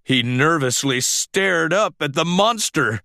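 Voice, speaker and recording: A man reading a children's story aloud as a scripted, animated narration. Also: fairly high pitch, takes it slowly, creaky, no room sound, clean studio sound.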